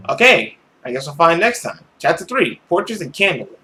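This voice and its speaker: Animated man narrating, music behind. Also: a little high, fairly quick. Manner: animated, reacting to the story. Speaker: gaming video host. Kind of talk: reading a visual novel aloud with commentary. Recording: background music under the voice.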